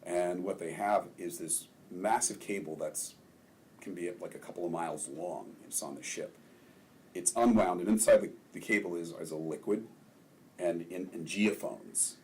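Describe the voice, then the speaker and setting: An older man from Maryland, a ward councilman, telling relaxed stories in a casual interview and chuckling often. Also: deep voice, moderate pace, a little hiss or hum.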